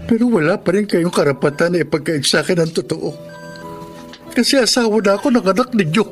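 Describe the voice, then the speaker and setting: A wounded, bitter husband speaks in a high male voice performed by an actor. His delivery is emotional and melodramatic, in the manner of romantic Filipino radio drama, with music clearly underneath. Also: fairly quick, lightly acted. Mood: hurt, subdued.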